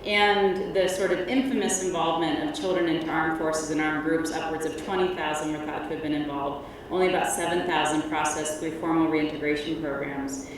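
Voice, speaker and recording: A middle-aged woman delivers an academic keynote in a low, measured voice with plenty of ums. She projects loudly, but she sits far back from the microphone. The big hall rings with long echo and a steady layer of noise. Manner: loud, measured presenter with frequent ums. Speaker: keynote speaker, researcher. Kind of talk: academic conference lecture. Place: large conference hall. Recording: echoey hall from far off, noisy.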